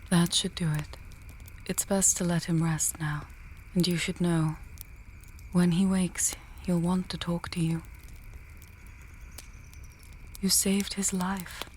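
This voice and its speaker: A woman playing a wry, confident mage and healer in a fantasy audio tale, speaking in a measured, articulate, dryly teasing way. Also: lowish voice, little pitch movement, a little mellow, slight background noise, lightly acted. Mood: calm, explanatory. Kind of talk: fantasy audio roleplay, addressing the listener.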